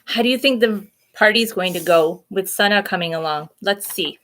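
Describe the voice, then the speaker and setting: A woman's voice: medium pitch, normal speed, a bit bright. A woman hosting children's story time, reading a storybook expressively with simple asides and questions for young listeners.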